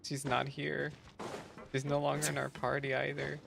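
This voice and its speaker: A woman's voice: deep voice, monotone, moderate pace, creaky, background noise. A woman giving casual, slangy, reactive commentary as she plays a video game. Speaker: gaming video creator. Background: game music and dialogue.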